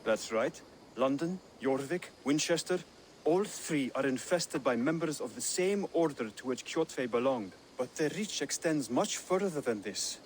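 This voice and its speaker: An earnest, devoted young assassin voiced by a man speaks in a medium-pitched voice. He explains things formally and solemnly, as in game drama. Ambient noise is clearly heard around him. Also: animated, unhurried, natural voice, low-quality recording. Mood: solemn, fervent. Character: earnest young male assassin scholar, devoted to his creed. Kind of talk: dramatic video game cutscene acting.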